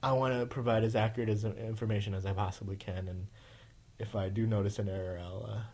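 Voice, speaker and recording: A man's voice: on the low side, fairly even intonation, quick pace, a little mellow. A man hosting a casual podcast, with a nasal voice, rambling with many fillers and restarts. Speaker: podcast host.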